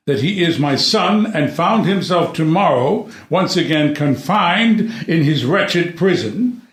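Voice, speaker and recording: A man's voice: a little high, full character voice. A man reading the part of a calculating, philosophical king in a classical play, speaking in formal, declamatory phrases. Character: calculating, philosophical king testing his imprisoned son. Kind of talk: unrehearsed dramatic play reading of classical comedy. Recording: echoey room.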